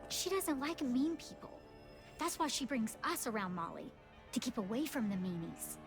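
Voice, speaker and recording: A woman's voice: on the high side, expressive, normal speed. A woman voicing an innocent little child in a video game, with simple, blunt phrasing. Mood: wary, accusing. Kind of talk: video game cutscene acting. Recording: game music behind the voice.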